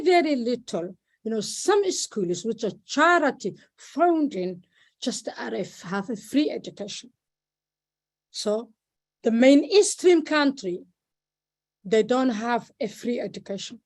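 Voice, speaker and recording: A woman from Somalia with a Somali accent speaks at an online meeting over a video call, halting and repeating words, with many fillers. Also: on the high side. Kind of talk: informal speech at an online meeting.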